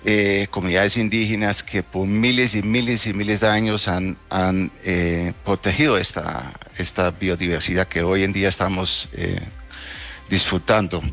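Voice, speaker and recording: A middle-aged man from the Netherlands, a native Dutch speaker, answering interview questions conversationally with halting, hesitant phrasing. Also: deep voice, fairly even intonation, a bit bright, soft background music. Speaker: interview guest, travel agency owner.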